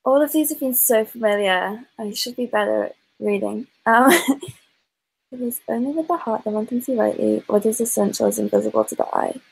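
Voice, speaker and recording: A woman with a fairly high voice plays a quiz over a video call. She chats casually and thinks out loud, unsure and a little apologetic. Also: moderate pace, breathy edge, rough recording. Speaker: quiz contestant. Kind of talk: casual chat, thinking aloud.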